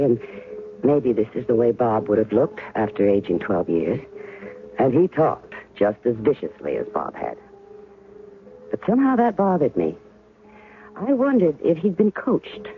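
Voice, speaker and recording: An actress playing a guarded, skeptical ex-wife in a 1970s radio drama, speaking in a measured, wry way with some hesitations. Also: female voice, low-pitched, exaggerated voice. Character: guarded ex-wife recounting a spy rendezvous, coolly skeptical. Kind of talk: 1970s radio drama acting with first-person narration. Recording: tinny band-limited 1970s radio, faint music.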